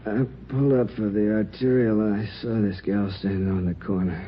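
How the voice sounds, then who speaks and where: A man playing a shaken, sheepish crime victim in a radio drama, speaking haltingly and a little defensively. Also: fairly low pitch, steady tone, close to an everyday voice. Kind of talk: 1950s radio drama acting. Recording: muffled, noisy old radio recording.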